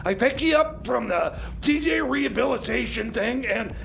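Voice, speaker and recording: A man playing a high-strung time machine mechanic in comedy radio theater, whining and stammering his complaints in a high, gravelly voice. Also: lively intonation, moderate pace, a bit bright, slight background noise, heavily acted. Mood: exasperated.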